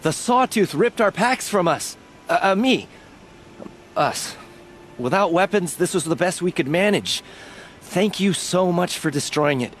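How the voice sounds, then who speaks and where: An anxious young warrior in a game, sheepish and nervous. His highish voice moves up and down in lively swings. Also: a little slow, a little hiss or hum. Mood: sheepish relief. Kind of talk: video game dialogue acting.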